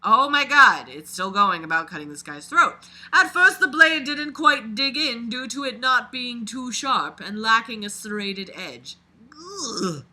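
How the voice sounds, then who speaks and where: Very bright, forceful, expressive dramatic reader. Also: medium pitch, a little slow. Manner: expressive, exclamatory, often swearing. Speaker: reader and commentator. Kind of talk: dramatic read-aloud of a novel with mocking reaction commentary.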